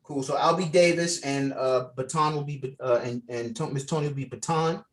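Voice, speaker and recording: A man's voice: mid-range voice, steady tone, moderate pace. A man casually running a script table read over a video call, giving directions and reading out stage directions. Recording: distant mic on a video call.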